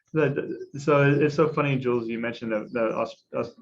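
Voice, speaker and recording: A man hosting a panel discussion, introducing guests with many pauses and fillers. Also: medium pitch, slight background noise. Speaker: host. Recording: slightly dull lo-fi audio.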